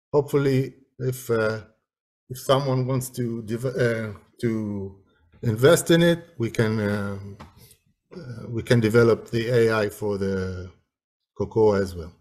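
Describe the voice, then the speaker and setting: A man giving a webinar presentation on a video call in a halting, hesitant manner. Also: fairly low pitch, darkish tone.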